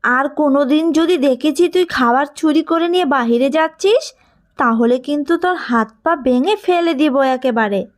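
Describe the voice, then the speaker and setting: A woman's voice: high-pitched, normal speed, natural voice. A woman voicing a cruel aunt in a cartoon who scolds her niece in a harsh, sneering manner. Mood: scolding.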